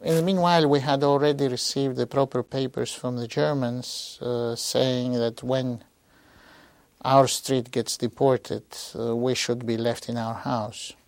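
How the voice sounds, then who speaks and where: Slow, hesitant older man, dry sound. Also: medium pitch, little pitch movement, no echo. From Greece. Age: 60s. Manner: slow, hesitant, with frequent pauses and fillers. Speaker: interviewee. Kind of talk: archival oral history testimony.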